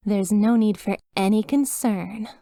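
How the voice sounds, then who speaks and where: A woman's voice: mid-range voice, a little slow, dark tone, no echo, studio quality, natural voice. A woman voicing a chipper, sweetly reassuring demon resort receptionist with a polished, over-polite customer service voice in a scripted ASMR roleplay.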